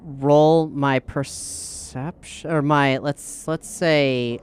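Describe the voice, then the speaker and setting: A woman's voice: sits low, fairly even intonation, extremely slow, a little mellow, clear, resonant tone. A woman playing a tabletop role-playing game, speaking casually and bluntly, with a questioning, sweary way of talking. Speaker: tabletop role-play player.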